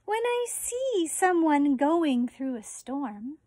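An American woman in her forties, a teacher reading a children's picture book aloud outdoors with deliberate, expressive phrasing. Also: sits high, dry recording. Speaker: elementary school teacher reading a story. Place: outdoors on a residential deck.

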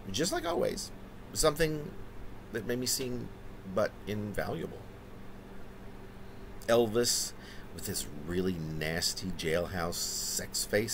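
A man in his fifties performs a poem in a low-pitched voice. His delivery is theatrical and animated, broken into halting phrases with chatty asides. It is recorded on a webcam indoors, with a little background noise. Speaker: poet reading his own poem. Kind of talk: dramatic poetry reading with conversational asides. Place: small room indoors.

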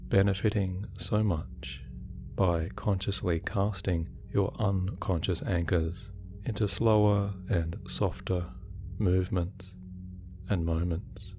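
A man guiding a hypnosis meditation in a soothing, measured way with deliberate pauses. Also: low voice, steady tone, mellow. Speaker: hypnotherapy guide. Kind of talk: guided meditation, hypnosis narration. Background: relaxation music.

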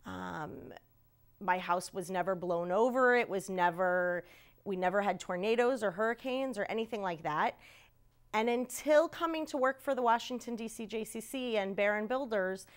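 A woman's voice: mid-range voice, moderate pace, clear, resonant tone. A middle-aged woman from the American Midwest giving an earnest personal testimonial in a studio. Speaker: volunteer.

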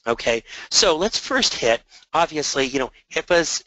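A man presenting a compliance webinar in a conversational way, slightly hoarse from a sore throat, with many fillers and repeated words. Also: on the high side, sing-song, normal speed, pushed and bright, no room sound, poor audio.

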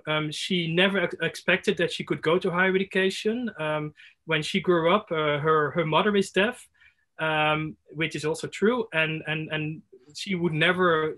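A man's voice: fairly high pitch, bright, forceful tone. Hesitant man on a video call. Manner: hesitant, many fillers and restarts. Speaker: workshop participant. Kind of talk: reflective workshop sharing. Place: home room with window blinds. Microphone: laptop video call.

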